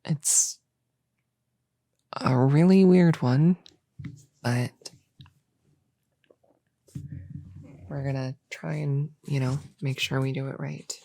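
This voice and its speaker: A woman plays a young novice witch in an intimate ASMR roleplay, murmuring low and unhurried. Her soft, breathy voice has a crackle of vocal fry and often sinks to a whisper. She muses casually to a friend, eager and a bit grumpy, close on the mic. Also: fairly even intonation, full character voice. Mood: focused, playful. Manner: casual, hesitant, musing aloud to a friend. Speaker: audio roleplay performer. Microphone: close microphone in a dry space.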